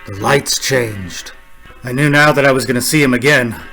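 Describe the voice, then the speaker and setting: An actor playing a haunted, lonely motorist narrating a suspense radio drama in a halting, urgent way. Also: male voice, mid-range voice, pushed and bright, soft background music, low-quality recording. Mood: haunted, dread. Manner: halting, repeats himself, urgent. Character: haunted male motorist narrating his uneasy lonely road journey.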